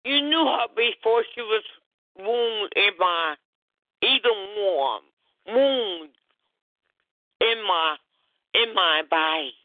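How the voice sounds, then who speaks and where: A woman's voice: medium pitch, no echo. A woman from New Orleans praying fervently and emotionally, pleading and repeating her phrases, over a narrow phone line. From New Orleans, USA. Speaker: worshipper giving a personal prayer testimony. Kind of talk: fervent spoken prayer.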